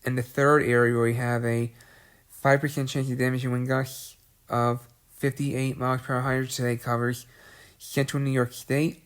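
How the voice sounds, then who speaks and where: Congested man, flat delivery. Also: medium pitch, normal speed. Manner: clears throat often, restarts phrases; reads long lists of places in a level voice. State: congested with a cold. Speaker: weather video host. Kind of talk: unscripted weather briefing.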